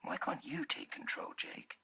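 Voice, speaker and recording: An actor playing a polite, earnest male government droid in a sci-fi comedy audio play, speaking formally with a husky, breathy voice. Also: unhurried. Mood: earnest, concerned. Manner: formal, courteous, gently probing. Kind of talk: audio play character acting.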